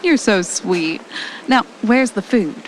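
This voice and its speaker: A woman's voice: mid-range voice, lively intonation, slow, poor audio. A woman acting an affectionate, easily unnerved young wife in an amateur audio drama, speaking casually and conversationally. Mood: cheerful. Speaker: actor in an amateur radio drama. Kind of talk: naturalistic audio drama dialogue. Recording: noticeable background noise, amateur recording.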